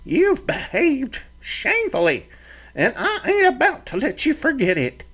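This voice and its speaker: A small ranch mother with a fiery temper. She scolds sharply and bosses everyone around in a high, strained voice that swoops all over. She comes across as a fierce old-fashioned frontier matriarch. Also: wildly swooping pitch, unhurried, a bit bright, exaggerated voice. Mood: scolding.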